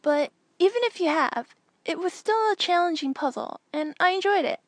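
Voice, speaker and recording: Woman voicing an earnest young boy, high-pitched. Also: lively intonation, normal speed, no room sound. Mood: earnest, kindly. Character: earnest, thoughtful young boy in a recorded hologram message. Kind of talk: audiobook character reading.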